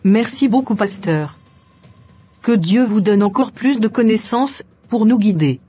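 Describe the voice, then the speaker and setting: A woman's voice: medium pitch, lively intonation, measured pace, warm tone, slightly rough, soft background music. A woman voicing a trusting, deferential parishioner in a cartoon. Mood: grateful. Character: trusting, respectful female parishioner seeking guidance. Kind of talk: dramatic animated dialogue.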